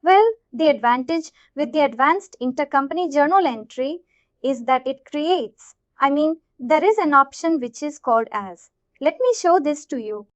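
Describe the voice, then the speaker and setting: A woman working as a software trainer, narrating an informal screen-share tutorial in an explanatory way, often checking in as she goes. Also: sits high, expressive, normal speed, dry recording. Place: voice-over of a screen recording. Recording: dull, lo-fi close dry audio.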